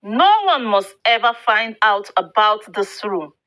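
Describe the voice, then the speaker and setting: A woman's voice: mid-range voice, very animated, measured pace, a bit bright. A woman voicing a guarded salon owner with secret magic in a folktale, firm in manner. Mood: worried resolve.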